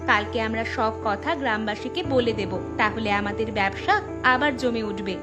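A woman's voice: on the high side, moderate pace, music in the background, natural voice. A woman voicing an eager, scheming, educated young village daughter in a Bengali cartoon, speaking emphatically. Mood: urgent, scheming.

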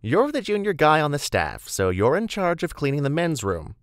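A motherly, talkative woman from an audiobook, performed by a man. Her voice sits well up high and swings around in lively fashion, brisk and welcoming, captured dry and close. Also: no room sound. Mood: bustling, welcoming. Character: chatty, motherly, bustling woman museum staffer. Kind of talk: audiobook character dialogue.

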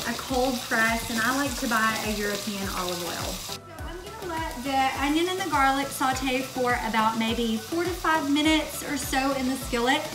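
Chatty high-voiced woman in an echoey kitchen. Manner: chatty, explains as she works. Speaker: host of a cooking show. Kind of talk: casual instructional cooking demonstration. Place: home kitchen, indoors. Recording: echoey kitchen, far from mic, music bed.

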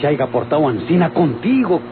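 Through a tinny, noisy old recording, an earnest young rancher with a rural Mexican accent. His high voice pleads in radio-serial style. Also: sing-song, a little fast. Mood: wounded, tender.

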